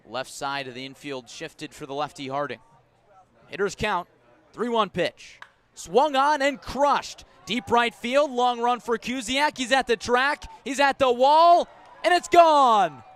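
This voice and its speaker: A man doing live radio baseball play-by-play, describing each pitch in detail and filling in with player stories. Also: measured pace. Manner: descriptive, storytelling between pitches, rising to excited calls on big plays.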